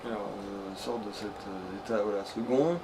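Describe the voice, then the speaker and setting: A man's voice: lowish voice, fairly even intonation, moderate pace. A man playing a video game and chatting casually with a friend, rambling and swearing as he goes. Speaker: video game let's player at the controls. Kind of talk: casual gaming chat with a friend. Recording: clearly noisy home recording.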